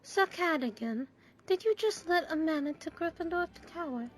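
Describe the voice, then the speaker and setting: A woman's voice: very high voice, expressive, moderate pace, faint music behind. A woman playing a stern, exasperated older schoolteacher in an audio drama, formal and scolding. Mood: shocked, suspicious. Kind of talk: fan audio drama acting.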